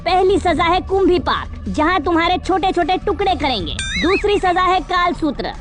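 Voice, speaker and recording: A woman's voice: extremely high pitch, big pitch swings, moderate pace. A woman voicing a bossy, officious cartoon afterlife clerk who scolds and hands out orders. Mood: stern. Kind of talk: Hindi comedy cartoon dialogue. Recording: music behind the voice.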